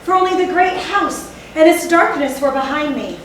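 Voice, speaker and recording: A woman performs a shaken witness telling a gothic horror tale on stage. Her high voice is emphatic and suspenseful. She sounds distant from the microphone in a heavily reverberant room. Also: expressive, normal speed. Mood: awed horror. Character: shaken gothic storyteller recounting a horror, later giddy victim. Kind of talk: dramatic readers' theatre narration. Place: echoey indoor theatre, live stage reading. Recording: very echoey hall, distant mic, faint noise.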